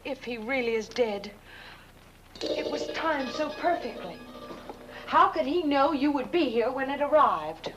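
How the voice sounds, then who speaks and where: Jittery squeamish widow, highish voice, swings around a lot, fretful old movie drama. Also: female voice, expressive, measured pace, over music. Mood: anxious. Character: squeamish, anxious young widow after an inheritance. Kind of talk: 1970s horror-comedy film acting. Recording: noisy old film soundtrack.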